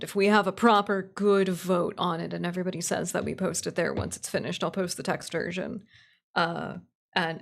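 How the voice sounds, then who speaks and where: A woman streamer chatting casually about her writing in an informal, self-conscious, excitable way. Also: lowish voice, creaky. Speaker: streamer, writer and voice actor. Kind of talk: casual livestream chat.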